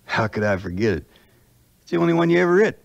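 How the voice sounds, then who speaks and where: A man's voice: on the high side, big pitch swings, quick pace, creaky, lo-fi sound. A man playing a loyal backwoods scout and sidekick, with a rustic Southern drawl, in a 1950s radio-style adventure. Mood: companionable. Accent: American Southern. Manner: rustic, plain-spoken drawl.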